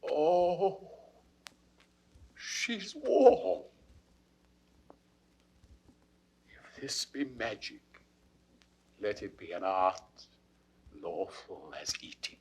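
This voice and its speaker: A man's voice: medium pitch, animated, gravelly, a little mellow, heavily acted. A British man acting a grieving, awestruck king in classical Shakespearean audio drama, declamatory and fervent. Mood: overwhelmed wonder. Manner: declamatory, fervent, drawn-out delivery.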